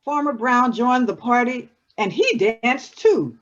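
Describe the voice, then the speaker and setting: A woman's voice: fairly high pitch, animated, takes it slowly. A woman performer reading story and verse aloud in readers theatre. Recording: dull lo-fi recording.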